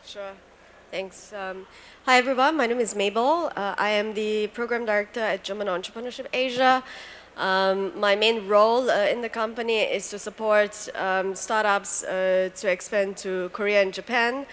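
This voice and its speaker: A woman speaking as a panelist on a conference stage, measured and full of fillers. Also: mid-range voice, fairly bright tone. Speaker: panelist, program director.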